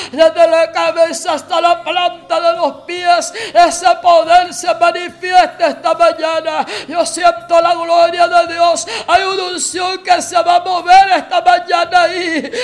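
A woman preacher leading a fervent, declamatory healing prayer and speaking in tongues. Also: extremely high pitch.

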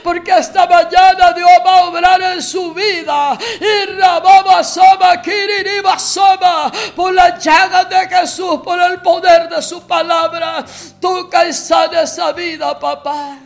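A woman's voice: extremely high pitch, level tone, unhurried, gravelly. A woman preacher leading a fervent, declamatory healing prayer and speaking in tongues.

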